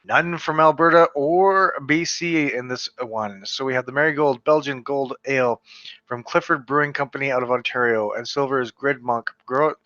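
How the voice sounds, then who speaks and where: A man's voice: medium pitch, normal speed, bright, forceful tone, no room sound. A man from western Canada casually running through beer award winners, chatty and stumbling over foreign brand names. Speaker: beer reviewer. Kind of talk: casual solo video commentary reading award results. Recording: slightly dull lo-fi sound, dry.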